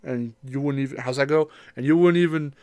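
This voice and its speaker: A podcast co-host with a middling pitch talks casually about games. The delivery rambles like a story told among friends. The sound is dry, with no room echo. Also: brisk, warm tone, clean tone.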